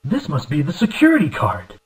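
A man's voice: a little high, wildly swooping pitch, a little fast. A man playing a determined government agent in an arcade zombie game, with stilted, declamatory delivery. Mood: grim resolve. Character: determined male government agent hunting a villain among zombies. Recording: echoey, distant game voice clips.

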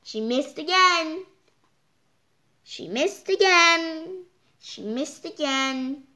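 A child reading a picture book aloud, halting and stumbling over words, with exclaimed side comments. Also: sits high, bright, forceful tone. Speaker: storytime reader. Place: indoors, hands holding book before the camera.

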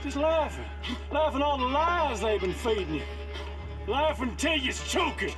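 A man's voice: extremely high pitch, lively intonation, a little slow, music in the background. A man playing a fiery, folksy rebel orator making an impassioned speech in a video game. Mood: scornful, fiery. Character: fiery, folksy rebel preacher ranting at viewers.

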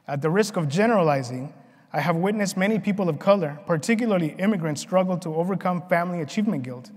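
A man with a notably high-pitched voice gives a prepared keynote address. His delivery is earnest and deliberate, set out in measured phrases. The sound carries the long reverb of a large conference hall. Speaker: keynote speaker. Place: large conference ballroom, on stage. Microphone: lapel mic at a podium.

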